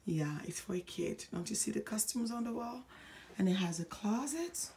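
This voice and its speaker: A woman giving a casual, chatty home tour in a hushed voice, gushing with admiration as she walks through indoor rooms with a handheld camera. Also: animated, normal speed, gravelly, a little hiss or hum. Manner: hushed, keeping voice low. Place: indoors, walking through a furnished model home. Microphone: handheld phone or camera held by the speaker.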